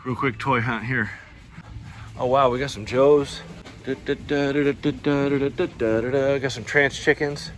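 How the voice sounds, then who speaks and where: A man's voice: medium pitch, steady tone, normal speed, slight background noise. A man hosting a vlog, talking in a casual, chatty way with slangy, laid-back fan enthusiasm. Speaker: YouTube host reviewing movies and toys.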